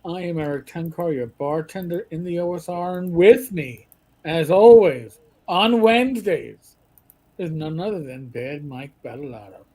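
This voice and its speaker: A man's voice: on the high side, animated, unhurried, warm tone. A middle-aged man chatting casually as a live stream host, blunt and colloquial, often repeating his words. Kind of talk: casual chat.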